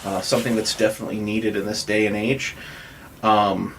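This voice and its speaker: A man's voice: on the low side, level tone, normal speed, a bit bright, a little hiss or hum, low-quality recording. An American man hosting a podcast, chatting and interviewing in an informal, loosely phrased way. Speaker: podcast host. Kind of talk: casual podcast chat and interviewing.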